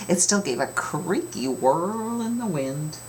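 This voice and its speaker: A woman reading a children's storybook aloud with expressive, dramatic emphasis, as an author telling her own tale. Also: deep voice, slow, slight background noise.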